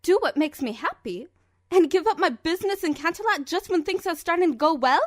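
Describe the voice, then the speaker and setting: A woman's voice: very high voice, expressive, a little fast, dry recording, studio quality. A woman voicing a theatrical, huffy lady cartoon pony, reading an indignant letter aloud. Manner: indignant, dramatic.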